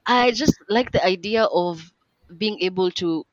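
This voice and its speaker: A woman's voice: mid-range voice, measured pace, no echo. A young woman in her twenties, a podcast guest talking in a chatty, rambling way with lots of fillers and restarts. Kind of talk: casual podcast interview. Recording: dull lo-fi dry recording.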